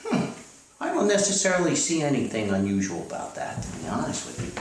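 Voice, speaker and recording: A man's voice: medium pitch, huge pitch swings, a little fast. A man rambling through comedic advice as a host, hesitant and folksy, reading out letters. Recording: echoey, distant mic with faint noise.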